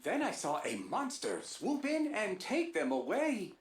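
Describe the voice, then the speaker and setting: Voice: mid-range voice, wildly swooping pitch, measured pace. An actor voicing a polite, formal, slightly fretful robot comrade in a video game, with precise, wordy phrasing. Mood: earnest, worried. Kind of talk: scripted video game voice acting. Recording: roomy echo, faint background noise.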